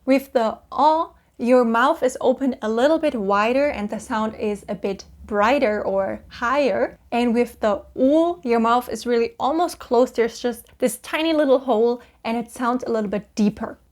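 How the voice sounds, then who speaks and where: A young woman with a German accent, patiently explaining Korean vowels and repeating each sound slowly. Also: fairly high pitch. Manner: cheerful, patient, drawn-out sounds for repetition. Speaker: Korean language teacher.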